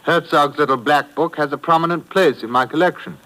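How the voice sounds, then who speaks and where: A man playing a suave male blackmailer who poses as a book collector, speaking with smooth, mocking, polite menace in a 1950s radio drama. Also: fairly high pitch, a little fast, bright, forceful tone, low-quality recording.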